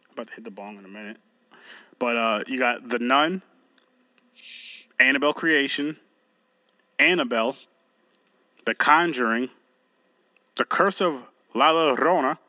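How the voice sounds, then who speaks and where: A man's voice: medium pitch, a little slow, long pauses, sharp tone, no room sound. A man co-hosting a podcast, chatting loosely with a friend, joking and swearing as he goes. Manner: loose, joking, profane banter. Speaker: podcast co-host. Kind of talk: casual chat.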